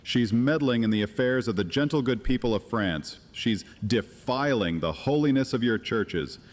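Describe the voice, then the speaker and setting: An actor playing a sly, sardonic dark-fantasy acolyte in a horror audio drama, mocking and theatrical. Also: male voice, medium pitch, expressive, moderate pace, close to an everyday voice. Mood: mocking accusation. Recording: long hall reverb.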